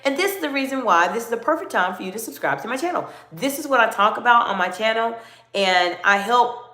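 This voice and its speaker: A middle-aged American woman coaching leaders in a livestream, animated and greeting viewers by name. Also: fairly high pitch, a little fast, reverberant. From United States. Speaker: executive coach. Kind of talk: livestream talk with chat.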